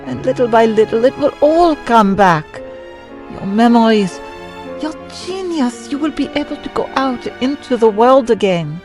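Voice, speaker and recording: An actress playing a madman's devoted, long-suffering wife in a radio drama, deliberate and imploring. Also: on the high side, animated, a little mellow, faint music behind, lightly acted. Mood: tender reassurance. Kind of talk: old-time radio drama acting.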